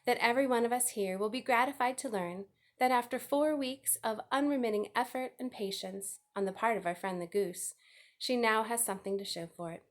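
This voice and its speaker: A woman voicing a wise, gracious female spider from a storybook, with a formal, measured and wordy manner. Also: a little high, close to an everyday voice.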